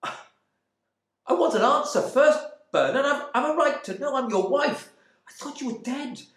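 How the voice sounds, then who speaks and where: Man putting on a shrill, high-pitched distraught wife. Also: animated, moderate pace, fairly bright tone. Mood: hysterical, accusing. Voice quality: screeching, high. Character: distraught, shrill English wife, demanding answers. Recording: roomy indoor echo.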